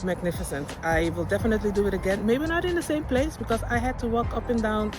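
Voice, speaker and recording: A woman's voice: mid-range voice, fast, music in the background. A woman from Suriname whose first language is Dutch, chatting casually and expressively in a personal vlog. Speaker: life coach presenting a personal vlog. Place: outdoors by a field.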